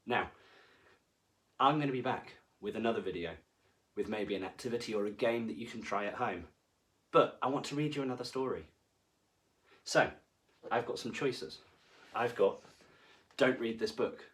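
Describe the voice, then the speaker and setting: A man reading to children as a storyteller, with expressive, deliberate and dramatic phrasing. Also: fairly low pitch. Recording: voice far from the mic.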